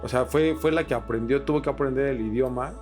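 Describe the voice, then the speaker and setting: A Mexican man hosts a podcast in a casual, explanatory way. His mid-pitched voice is slangy and sweary, with guitar music clearly playing behind him. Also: brisk, darkish tone. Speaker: podcast host.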